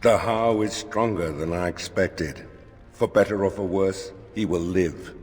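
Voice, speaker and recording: A solemn warden from a fantasy game. His voice is fairly low and echoes in long reverb. He speaks gravely and ceremonially over faint music. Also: unhurried, darkish tone. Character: solemn male Grey Warden officiant. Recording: echoing hall with faint music.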